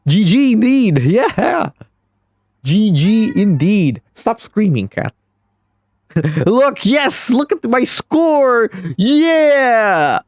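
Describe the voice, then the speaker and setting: Slow, lively-pitched male stream commentator. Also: no room sound, clean studio sound. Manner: chatty, reacts to chat, laughs often. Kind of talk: casual live-stream commentary.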